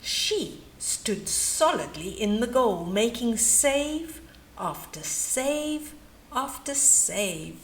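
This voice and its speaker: A woman storyteller with a high, very expressive voice. She reads a children's story at an unhurried pace, adding chatty little asides and questions as she goes. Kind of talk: animated bedtime story read-aloud.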